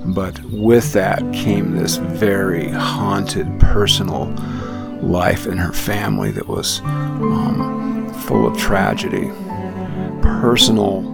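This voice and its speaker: A man with a low, gravelly voice hosts a radio program and talks slowly through reflective stories. He often stops and restarts his phrases as he goes. A clear music bed plays beneath his speech. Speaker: radio show host. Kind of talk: reflective storytelling monologue. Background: music under the voice.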